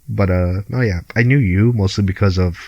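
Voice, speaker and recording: A young man with a Filipino accent and a low, deep voice. He co-hosts a podcast from home over a call, easygoing and laughing between lines. The sound is close and completely dry. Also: normal speed, darkish tone, no room sound, highs cut off. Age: young adult. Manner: laid-back, laughs often, swears casually. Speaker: podcast co-host. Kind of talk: casual chat.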